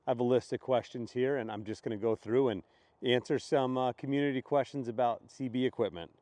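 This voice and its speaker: A man's voice: mid-range voice, steady tone, fairly quick, a little mellow, no echo. Man casually explaining, dry close sound. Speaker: host answering community questions.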